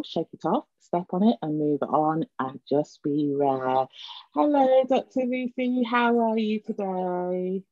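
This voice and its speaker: A woman's voice: deep voice, no room sound, highs cut off. A woman hosting a podcast interview in a conversational way. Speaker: podcast host.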